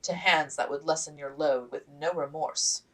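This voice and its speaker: A woman's voice: sits low, steady tone, moderate pace, a bit bright, recorded from a distance, low-quality recording. A woman voicing a gallant young male army officer in a storybook, courtly and emphatic. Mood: smug gallantry.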